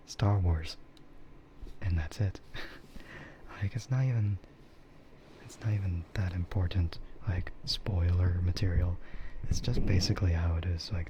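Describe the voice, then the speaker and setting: Male ASMR streamer, soft whispery delivery, unhurried and rambling, muffled recording with clear background noise. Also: sits low. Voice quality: breathy, soft, mostly whispered. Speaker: streamer, VTuber. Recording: dull, muffled audio with loud ambient noise.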